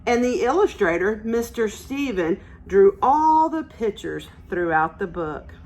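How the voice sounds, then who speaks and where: A woman's voice: mid-range voice, lively intonation, measured pace, a little mellow. A woman teacher reading aloud to young children, explaining simply and asking encouraging questions as she goes. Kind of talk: interactive read-aloud lesson for young children.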